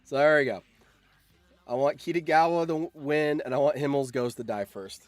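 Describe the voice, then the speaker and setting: A man's voice: a little high, normal speed, dry recording. A man hosting a livestream, chatting casually with viewers and thinking aloud, often repeating his phrases. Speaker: livestream host.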